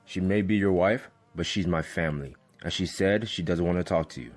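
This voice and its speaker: Actor as deep-voiced, blunt family man. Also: fairly quick. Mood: firm. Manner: firm, blunt. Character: Troy, firm protective family man, gruffly teasing. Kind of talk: audio drama dialogue.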